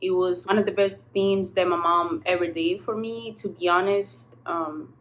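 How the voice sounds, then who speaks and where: A young woman from southern Spain speaks in a fairly low voice, as a native Spanish speaker using casual, slangy English. She tells her story frankly to a camera a little way off in her apartment, swearing freely. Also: steady tone, moderate pace, a bit bright, slight background noise, poor audio. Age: young adult. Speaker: vlogger. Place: apartment kitchen-living room.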